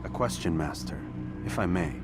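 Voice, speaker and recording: Brooding low-voiced assassin, music behind. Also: male voice, lively intonation, normal speed. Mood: resolute. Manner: terse, grave, earnest. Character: brooding young male medieval assassin, questioning his orders. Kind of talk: dramatic video game cutscene acting.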